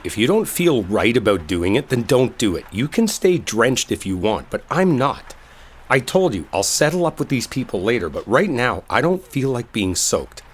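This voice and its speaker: A man's voice: mid-range voice, breathy edge. A man acting a brash, exasperated young coworker in an intimate roleplay audio, speaking casually with sarcasm and swearing. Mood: irritated, defiant.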